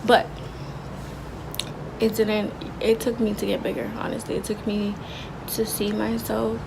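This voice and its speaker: A young woman in her twenties chats to her viewers in a soft, quiet voice with a gravelly edge. She rambles in slang, often restarting her sentences, and her pitch stays fairly flat. Clear background noise runs under the recording. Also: a little slow. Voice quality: soft, low-volume voice. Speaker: vlogger. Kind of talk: casual confessional vlog.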